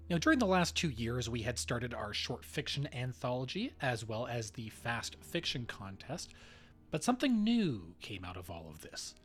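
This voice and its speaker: A man's voice: mid-range voice, big pitch swings, moderate pace, fairly bright tone, soft background music. A man hosting a podcast, delivering scripted announcements. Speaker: podcast host.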